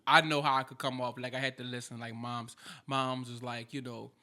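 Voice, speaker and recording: A man's voice: medium pitch, level tone, a little fast, sharp tone. A man hosting a podcast in casual chat, with a light voice and a loose, chatty delivery full of fillers and restarts. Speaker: podcast host.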